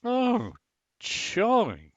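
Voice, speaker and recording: A man's voice: very high voice, wildly swooping pitch, very slow, no room sound, full character voice. A man acting a long-suffering, dryly sarcastic husband in a domestic radio comedy. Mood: wry. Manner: dry, exasperated sarcasm.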